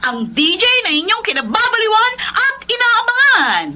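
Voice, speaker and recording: Excitable young Filipina daughter, very high voice, gushing and respectful, TV drama, noisy location sound. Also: female voice, moderate pace. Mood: elated. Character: bubbly, devoted young daughter who becomes a radio DJ. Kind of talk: Filipino television drama acting.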